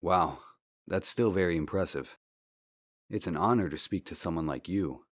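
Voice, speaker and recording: Low-voiced, earnest investigator. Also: male voice. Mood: polite, curious. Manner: courteous, measured. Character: polite, earnest amateur investigator, a determined man. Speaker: voice actor. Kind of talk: scripted point-and-click game dialogue. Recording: music under the dialogue. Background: background music.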